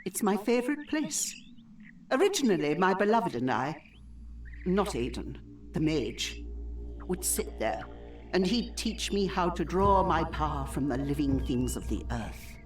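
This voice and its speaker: Low, expressive swamp witch healer. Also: distant mic, soft background music. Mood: solemn, earnest. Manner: formal, old-fashioned, measured phrasing. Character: swamp witch healer, woman looking older than her years, wistful. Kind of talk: dramatic video game dialogue.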